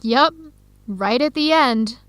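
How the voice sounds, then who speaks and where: A young woman playing an earnest researcher searching for her birth family in an educational radio drama, speaking clearly and conversationally. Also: fairly high pitch, lively intonation, slow pace, fairly bright tone, no echo. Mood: resolute. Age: young adult.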